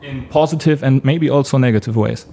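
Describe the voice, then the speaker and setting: A man asking interview questions in a halting, hesitant way. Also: mid-range voice, creaky, mellow. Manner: halting, hesitant phrasing in non-native English.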